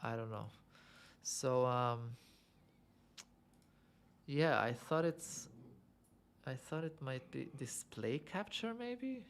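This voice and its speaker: A man's voice: medium pitch, lively intonation, takes it slowly, long pauses, slight background noise. A young man from Lebanon hosting a casual livestream, answering viewer questions in a chatty, self-amused way and thinking aloud with many fillers. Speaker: livestream host.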